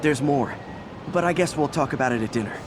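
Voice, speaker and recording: An actor playing a friendly, earnest young American superhero in a video game, talking in a casual, slightly awkward way. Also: male voice, mid-range voice, brisk, noisy background, natural voice. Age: young adult. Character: earnest, friendly young male superhero juggling everyday life.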